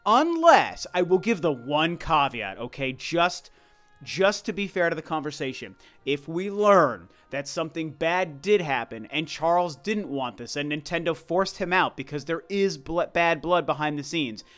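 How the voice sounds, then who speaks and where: A man with a slightly high voice delivers casual opinion commentary in a voice-over. He talks conversationally but emphatically, circling back over his points to drive them home. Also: sing-song, normal speed, a bit bright. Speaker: video commentator. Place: voice-over over gameplay footage.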